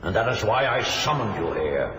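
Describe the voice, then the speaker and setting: A proud, anguished king declaims regally in an audio drama. The voice is on the low side and rings with echo and long reverb, over faint noise. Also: big pitch swings, moderate pace, fairly bright tone. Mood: urgent, commanding. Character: aging proud king, grand and anguished father. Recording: echoey hall, long reverb, noisy background.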